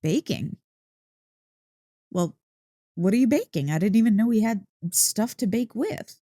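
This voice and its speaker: Woman doing an excitable dog-girl girlfriend for asmr, mellow n swoopy, slow playful teasing, super close dry mic. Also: mid-range voice, no room sound. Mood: excited, curious. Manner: playful, expressive, mock-indignant teasing. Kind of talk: ASMR roleplay, intimate one-sided dialogue. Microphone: close, dry microphone.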